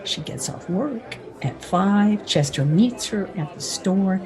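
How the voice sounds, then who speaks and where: A woman's voice: mid-range voice, animated, slow, a crowd in the background. An actress playing a knowing, worldly frontier saloon woman, wry and teasing, in a radio western. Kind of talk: old-time radio western acting.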